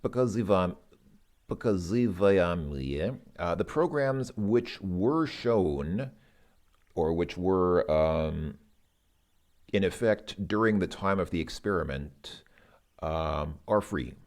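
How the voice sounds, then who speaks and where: A man's voice: low-pitched, animated, takes it slowly, darkish tone. A man giving an informal language lesson, hesitantly translating and thinking aloud as he goes. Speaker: language learner presenting a Russian lesson.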